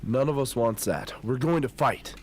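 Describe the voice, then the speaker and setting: A man's voice: medium pitch, normal speed, a little mellow, close to an everyday voice. A man playing an eager, combative young soldier in an amateur radio drama. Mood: determined. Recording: faint background noise and ambience.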